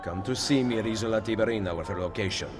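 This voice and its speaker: An actor playing a commanding assassin leader in a video game, terse and firm, with an Italian accent. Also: male voice, lowish voice, fast talker, music in the background, close to an everyday voice. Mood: firm, cool. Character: commanding young-to-middle-aged male Italian assassin leader. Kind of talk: video game cutscene acting.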